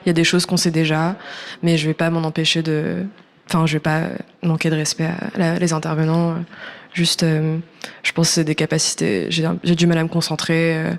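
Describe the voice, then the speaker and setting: A woman's voice: sits low, flat delivery, brisk, creaky. A woman in her early twenties, a native English speaker, chatting casually in a radio interview, hesitant and informal, often trailing off. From born in New York, French-American. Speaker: musician, singer guest.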